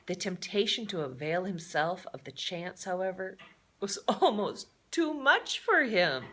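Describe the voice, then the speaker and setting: A woman's voice: on the low side, sing-song, a little slow, bright, forceful tone. A woman reading a children's story aloud in a theatrical way, adding chatty, playful asides. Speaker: storybook reader.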